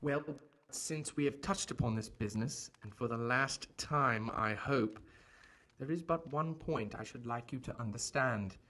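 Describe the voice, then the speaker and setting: Victorian gentleman doctor, played by a man, medium pitch, courteous and guarded, earnest formal delivery for audio drama. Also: measured pace. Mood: earnest, pleading.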